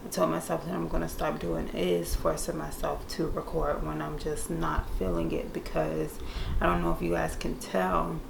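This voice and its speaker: A young woman doing a makeup tutorial in casual chat, laid-back and monotone by her own account, with playful slangy asides to viewers. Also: low voice, normal speed, vocal fry, roomy sound, noisy background. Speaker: beauty vlogger. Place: room indoors. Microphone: camera mic.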